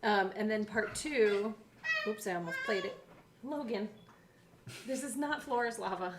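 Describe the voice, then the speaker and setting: A woman's voice: mid-range voice, measured pace, background noise. A woman co-hosting a podcast in a casual, chatty style. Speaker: co-host of a behind-the-scenes podcast. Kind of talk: casual chat, reading episode blurbs aloud.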